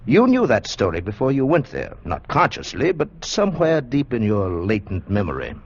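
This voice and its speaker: An actor playing a calm, self-assured male psychiatrist explaining things in a measured, authoritative way in a radio drama. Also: mid-range voice, breathy edge, full character voice. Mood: clinical, explanatory. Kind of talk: vintage radio drama acting. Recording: muffled vintage radio broadcast recording.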